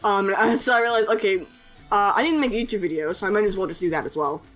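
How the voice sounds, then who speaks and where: A woman's voice: mid-range voice, fast, a bit bright, soft background music. A young woman chatting casually in a voice-over while she edits, hesitant, with lots of fillers and self-conscious little laughs. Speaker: artist and animator YouTuber. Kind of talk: casual voice-over over editing footage.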